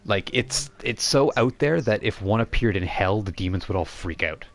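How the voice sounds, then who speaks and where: A man hosting and narrating a tabletop role-playing game as game master, explaining things in an informal, halting way. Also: on the low side, lively intonation. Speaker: game master and stream host.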